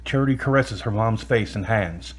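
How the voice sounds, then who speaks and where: A man's voice: on the low side, moderate pace. A man reading scripted narration that sets out the action of an audio drama. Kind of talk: scripted narration of stage directions.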